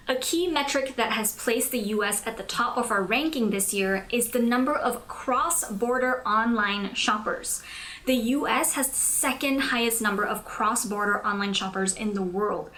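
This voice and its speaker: Young woman w a slightly high voice, chatty, explaining tips like a youtube business tutorial. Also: a bit bright. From Malaysia. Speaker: host of a business tutorial channel. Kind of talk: scripted tutorial to camera, conversational.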